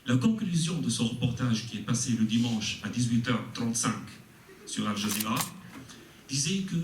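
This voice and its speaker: A man with a mid-range voice poses lengthy, courteous questions, pausing often on hesitant fillers. The room is echoey and he sounds far from the mic, with faint noise underneath. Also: mid-range voice, darkish tone. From Tunisia. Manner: formal, deferential, long-winded, hesitant fillers. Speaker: audience member asking questions. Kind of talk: formal question from the floor. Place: meeting room with audience.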